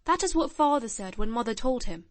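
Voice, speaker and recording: A woman's voice: fairly high pitch, animated, a little fast, no echo, natural voice. A woman voicing a shy, blunt, earnest little boy of nearly ten in a storybook reading.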